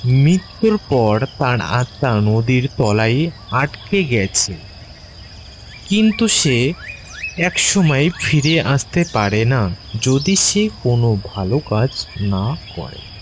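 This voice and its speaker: A man's voice: medium pitch, big pitch swings, slow pace, a little hiss or hum. A man narrating a scripted ghost story for children as a storyteller.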